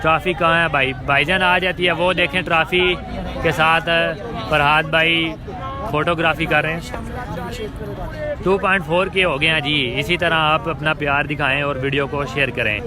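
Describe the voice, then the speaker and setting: A man's voice: fairly high pitch, fairly even intonation, moderate pace. A man calling a cricket match outdoors, announcing shots and greeting viewers between balls. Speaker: livestream cricket commentator. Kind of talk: live commentary and viewer greetings. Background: crowd noise.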